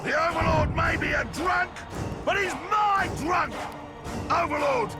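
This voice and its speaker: A fierce orc shouts taunts in a very high, strained pitch. He is hostile and combative, a fantasy game foe. Loud music sits behind him. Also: unhurried. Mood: defiant, furious. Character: fierce, hostile orc fighter, loyal to his overlord. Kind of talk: fantasy video game voice acting.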